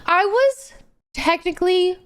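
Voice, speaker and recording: A woman's voice: very high voice, measured pace. A woman in her late twenties rambling casually to livestream viewers in a chatty, slangy, emphatic way.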